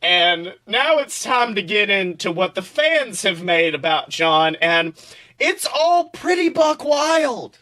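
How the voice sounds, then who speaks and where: A man with a high, bright voice co-hosts a casual comedy podcast. He tells stories in an animated, profane way, slipping into acted-out quotes as he goes. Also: takes it slowly. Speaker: podcast co-host.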